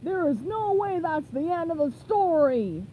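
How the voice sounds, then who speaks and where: A woman's voice: very high voice, very animated, unhurried, mellow, slight background noise, pitched above the performer's own voice, full character voice, rough recording. A woman voicing cranky, indignant seagulls in a storybook reading. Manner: indignant, squawking.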